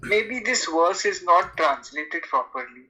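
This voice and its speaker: A man with a fairly high, very bright and forward voice takes part as a caller in a religious discussion. His delivery is informal and halting, as he feels his way through his points. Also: expressive, rough recording. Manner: hesitant, halting. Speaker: debate caller.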